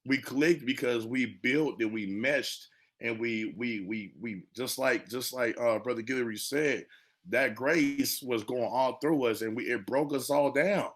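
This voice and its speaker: Man, American accent, medium pitch, casual storytelling, colloquial, animated, conversational check-ins. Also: measured pace. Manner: animated, colloquial, peppered with "you know what I'm saying". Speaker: monologuist on a panel.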